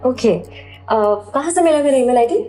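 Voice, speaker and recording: A woman playing a cool, probing surgeon in an audio drama, with a measured, questioning manner. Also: high-pitched, expressive, dark tone, faint music behind. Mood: cool, suspicious. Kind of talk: Hindi audio drama acting.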